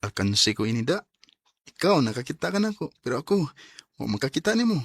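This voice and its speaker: A shy young suitor in a radio romance, speaking with a Cebuano Bisaya accent. His voice is husky and hesitant, at a middle pitch, recorded dry and close. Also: very animated, fast talker, no room sound. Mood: wistful, smitten. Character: smitten, shy young man courting online. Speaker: radio drama actor. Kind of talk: Bisaya radio drama acting.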